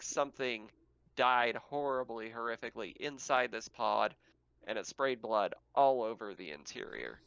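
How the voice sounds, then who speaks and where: A man's voice: mid-range voice, fairly bright tone, smooth, no echo. Man running a tabletop game, muffled recording. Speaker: game master. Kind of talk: narrating a tabletop game, casual.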